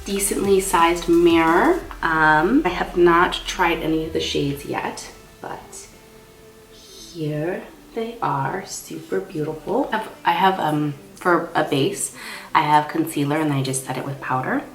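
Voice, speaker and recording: Low-pitched young woman with a stuffy cold. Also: measured pace. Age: young adult. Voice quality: slightly stuffy, congested. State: has a cold. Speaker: makeup artist and beauty vlogger. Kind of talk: casual makeup tutorial chat. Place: small indoor room. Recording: roomy echo.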